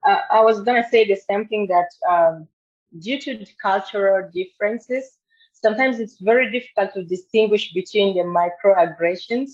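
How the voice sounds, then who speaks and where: Woman, mid-pitched storyteller, dull muffled video call audio. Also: normal speed, darkish tone. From South Sudan. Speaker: program participant. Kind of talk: telling a personal story in a discussion.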